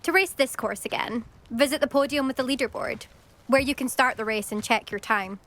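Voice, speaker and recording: A woman's voice: fairly high pitch, a little fast, a bit bright. A young woman with a British accent playing a brash, competitive teenage racing champion in a video game, haughty and grudging with praise. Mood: smug taunting.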